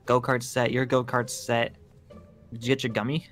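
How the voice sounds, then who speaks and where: A man's voice: mid-range voice, flat delivery, normal speed, a bit bright, natural voice. A man playing a practical, skeptical survivor in an improvised Minecraft roleplay, talking in a hesitant, questioning way. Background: background music.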